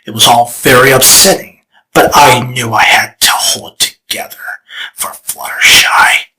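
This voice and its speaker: A man's voice: medium pitch, steady tone, sharp tone, poor audio. A man voicing a scheming, theatrical male chaos spirit in a fan comic dub. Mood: solemn storytelling. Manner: dramatic, teasing, slow and deliberate. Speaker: storyteller figure.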